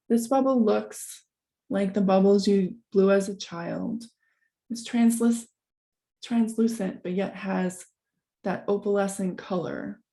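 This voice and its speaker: A woman leading a guided meditation, giving soft instructions in a calm, gentle, unhurried manner with long pauses. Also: mid-range voice.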